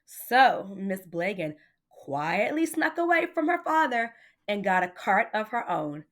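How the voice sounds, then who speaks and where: A woman's voice: medium pitch, very animated, measured pace, a bit bright. Woman reading aloud to children. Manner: addresses child listeners directly, with questions. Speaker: teacher reading a picture book aloud. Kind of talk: animated children's storytelling.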